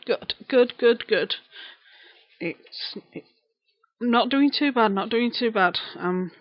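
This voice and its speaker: A woman's voice: a little high, slow, dry recording, dull audio. A woman in her thirties with a broad Lancashire accent, chatting casually on a live stream, greeting viewers and repeating herself as she rambles. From England. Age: 30s.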